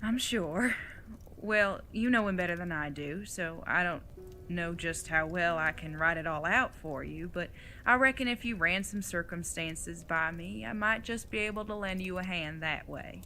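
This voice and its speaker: A woman's voice: on the low side, normal speed, bright, forceful tone, slight background noise. A woman playing an older retired outlaw mother in an audio drama, folksy, plain-spoken and teasing, with affection underneath. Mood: reassuring, frank.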